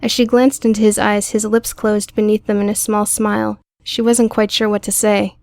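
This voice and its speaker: A woman's voice: medium pitch, flat delivery, normal speed, creaky, darkish tone, no room sound, studio quality. A woman reading scripted fiction as an audiobook narrator.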